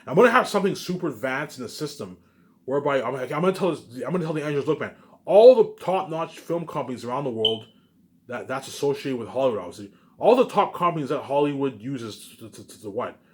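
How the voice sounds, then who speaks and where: Fast-talking man in a rambling monologue. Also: mid-range voice, expressive, darkish tone, a little hiss or hum. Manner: repetitive, often restarts phrases and repeats words. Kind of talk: rambling informal monologue about personal plans.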